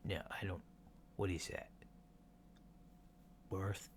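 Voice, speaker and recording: Man playing an alien doctor, lowish voice, unhurried, soft ASMR delivery, reassuring, hesitant, matter-of-fact. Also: flat delivery. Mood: calm, reassuring. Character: casual, reassuring alien doctor giving a checkup. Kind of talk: soft-spoken ASMR roleplay. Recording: lo-fi with clear background noise.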